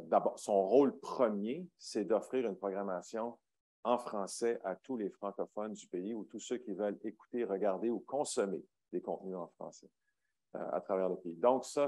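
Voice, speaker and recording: A man's voice: fairly low pitch, dark tone. A man speaking on a panel, hesitant with many fillers. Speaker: broadcaster panelist. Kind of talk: panel talk.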